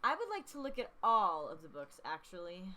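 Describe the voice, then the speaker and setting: A woman's voice: fairly low pitch, huge pitch swings, brisk, pushed and bright. A young woman in casual, playful let's play chat, also reading a game character's lines aloud.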